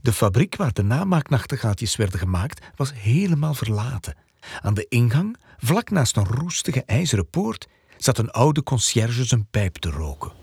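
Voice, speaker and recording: A man narrating a scripted fairy tale as a storyteller, with a husky, breathy voice. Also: medium pitch, very animated, normal speed, a little mellow, dry recording. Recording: dry, close, faint music behind.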